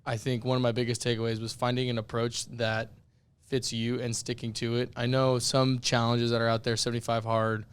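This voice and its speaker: Raspy-voiced man, smooth, even delivery. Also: mid-range voice, fairly quick. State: voice worn out from yelling. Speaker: podcast co-host. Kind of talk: casual podcast chat.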